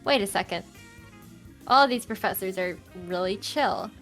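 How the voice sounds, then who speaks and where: A young woman with a high-pitched, loud voice, chatting casually as an interview guest, with many fillers and restarts. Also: unhurried, soft background music. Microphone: home streaming mic with EQ and compressor.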